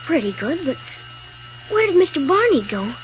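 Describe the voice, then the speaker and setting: A woman's voice: sits high, sing-song, moderate pace, soft background music. A woman playing a plucky injured young boy in a 1930s radio serial, on a muffled, noisy old broadcast. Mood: weak, earnest.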